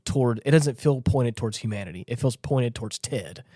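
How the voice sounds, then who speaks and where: A man's voice: medium pitch, animated, brisk, dark tone, no echo, studio quality. A man co-hosting a casual podcast chat, talking in a rambling, emphatic way and often repeating himself. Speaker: podcast co-host.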